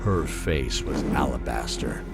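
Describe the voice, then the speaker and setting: A man giving solemn, measured, dramatic narration for a scripted fantasy story. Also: extremely low pitch, lively intonation, vocal fry. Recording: loud music underneath.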